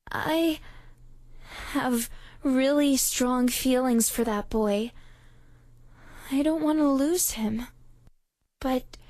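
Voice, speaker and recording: High-pitched shy schoolgirl, a woman doing the voice, soft and polite, dry close sound. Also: dry recording. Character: sweet, anxious teenage schoolgirl. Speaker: voice actress for a game character. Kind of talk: game voice lines.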